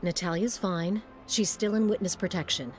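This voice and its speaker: An actress playing a composed, businesslike city mayor with an urgent message in a video game cutscene. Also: female voice, fairly low pitch, steady tone, normal speed, lightly acted. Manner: businesslike, earnest, authoritative. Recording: faint music behind the voice.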